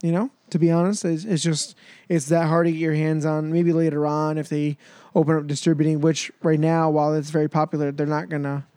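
A man's voice: a little high, little pitch movement, brisk, few pauses, warm tone, clean tone, dry recording. A man in his twenties hosting a casual podcast, talking loosely and laughing easily. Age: 20s. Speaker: podcast host.

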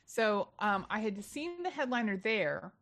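A woman's voice: medium pitch, measured pace, no room sound. Gossipy woman comic telling stories. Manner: gossipy, animated storyteller. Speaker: stand-up comedian guest. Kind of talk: casual podcast chat, storytelling.

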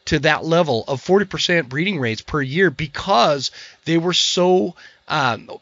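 Hoarse man ranting with a dry, dull sound. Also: on the high side, lively intonation, measured pace, fairly bright tone, no room sound. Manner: heated, ranting, swears. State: hoarse from a cold. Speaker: podcast host. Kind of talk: casual interview chat. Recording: dry, muffled podcast audio.

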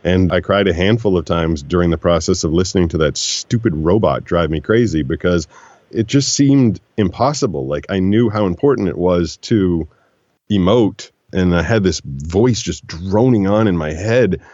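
A man with a very low, deep voice tells stories in an animated, relaxed way, slipping into other voices when he quotes people. The room is dry and close. The sound is a little dull and lo-fi. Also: moderate pace, dry recording. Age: 40s. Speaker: guest, voiceover actor. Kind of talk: relaxed storytelling conversation.

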